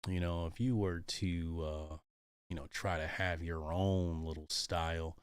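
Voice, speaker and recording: Low-voiced hesitant American man reading aloud. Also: fairly even intonation, measured pace, smooth, no echo, studio quality. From United States. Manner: hesitant, full of ums and uhs and restarts. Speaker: commentator reading a book aloud. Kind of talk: reading passages aloud and commenting on them.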